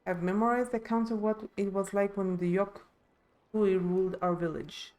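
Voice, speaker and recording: A woman voicing a thoughtful, steadfast young villager in a fantasy game, measured and explanatory. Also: medium pitch, steady tone, darkish tone, lightly acted. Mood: earnest, steady.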